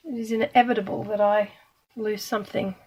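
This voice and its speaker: A middle-aged woman from Australia, slightly croaky today, chatting casually and self-deprecatingly while she demonstrates card-making. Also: mid-range voice, steady tone, a little fast, darkish tone, poor audio. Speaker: craft demonstrator.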